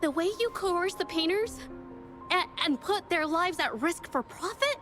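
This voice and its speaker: An actress playing a shy but resolute young female painter who speaks earnestly and haltingly. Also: very high-pitched, animated, fairly bright tone, soft background music, full character voice. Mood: determined. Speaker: accuser in court.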